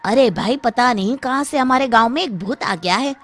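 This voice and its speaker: A woman's voice: fairly high pitch, animated, normal speed, fairly bright tone, voice pushed up. A woman voicing an anxious, superstitious village man, exclaiming fearfully in a story. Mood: worried. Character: panicky, superstitious village men.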